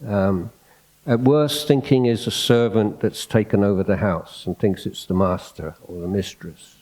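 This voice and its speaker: A soft-spoken man, a Buddhist monk, giving an unscripted dhamma talk in a hall, reflective and hesitant, restarting his phrases often. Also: on the low side, measured pace. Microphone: microphone in a hall.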